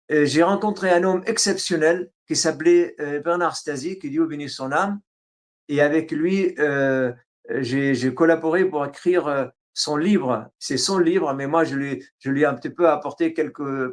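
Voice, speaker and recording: Interview guest: middle-aged man, Arabic accent, slightly higher voice, halting, many fillers. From Syria. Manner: halting, frequent fillers and restarts. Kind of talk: autobiographical interview.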